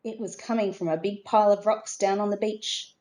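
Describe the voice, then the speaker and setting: A woman narrating a children's storybook expressively, in a measured way with dramatic emphasis. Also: medium pitch, steady tone, a little mellow. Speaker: storybook reader.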